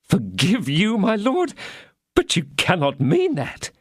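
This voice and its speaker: A man's voice: sits high, huge pitch swings, slow pace, breathy edge, dry recording, studio quality, voice pushed up, heavily acted. A man voicing a timid, fawning middle-aged tradesman who gushes and frets in disbelief. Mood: disbelieving. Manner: anxious, gushing, disbelieving.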